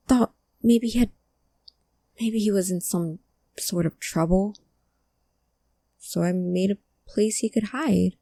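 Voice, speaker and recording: A woman playing a guarded, grieving friend of a missing person in a cyberpunk audio drama, halting and emotional in delivery. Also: lowish voice, mellow, no echo. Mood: guarded, wistful.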